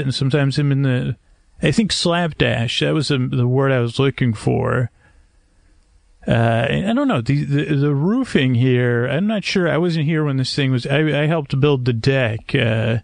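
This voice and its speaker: A man hosting a bedtime podcast, talking in a creaky voice with a hesitant, meandering, measured and gently self-deprecating manner. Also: medium pitch, dry recording, clean studio sound. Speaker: podcast host. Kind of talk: rambling, soothing bedtime monologue to help listeners sleep. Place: screened porch at night.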